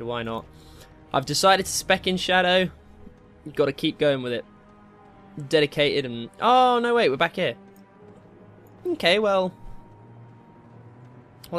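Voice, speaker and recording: A man giving casual, excitable and jokey let's play commentary as he hosts a gaming video. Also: on the high side. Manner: excitable, jokey, mildly crude exclamations. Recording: game music behind the voice. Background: video game music.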